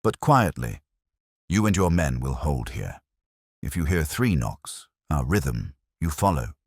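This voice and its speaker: A shrewd, commanding detective read by a male narrator speaks in a very low, husky voice. His phrases are clipped and precise, with lively swings of pitch, as in a mystery audiobook. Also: unhurried, dry recording, clean studio sound, exaggerated voice. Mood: cool, deliberate.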